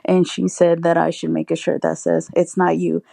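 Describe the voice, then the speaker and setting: A woman's voice: on the low side, level tone, normal speed, gravelly, mellow, no echo, studio quality. An American woman from Oklahoma leaving a casual voicemail message for a show. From Oklahoma, United States.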